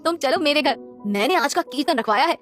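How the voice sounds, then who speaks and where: Woman voicing a high-pitched, haughty rich young woman. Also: expressive, fairly quick, fairly bright tone, faint music behind. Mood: boastful. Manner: haughty, mocking. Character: boastful rich young woman, scornful of her poor friend. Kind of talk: Hindi cartoon moral-story dialogue.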